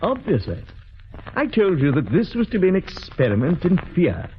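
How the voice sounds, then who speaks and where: An actor playing a calm, villainous doctor, polite and clinical, in 1940s radio drama style. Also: male voice, high-pitched. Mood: coldly menacing. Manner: polite, measured, hesitant pauses, clinical. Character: calm, cold, calculating villainous male doctor, smugly scientific. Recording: muffled, noisy vintage radio recording.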